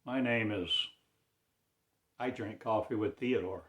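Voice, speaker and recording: A man delivering a rambling spiritual monologue in a slow, drawn-out, cryptic declaiming manner. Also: lowish voice, reverberant, slight background noise.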